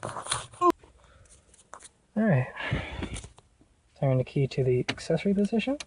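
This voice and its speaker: A man with a slightly high voice walks through a DIY installation. He talks informally and haltingly, thinking aloud as he explains each step. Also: big pitch swings, heavy background noise. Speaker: vlogger showing a car radio install. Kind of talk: casual hands-on how-to explanation.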